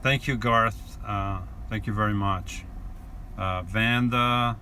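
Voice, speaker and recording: A man's voice: lowish voice, fairly even intonation, slow, sharp tone. A man in his forties with a New York accent, chatting easygoing on a live stream from his van and answering viewer questions with many fillers. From New York and New Jersey. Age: 40s. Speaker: vlogger. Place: inside a van. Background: inverter hum.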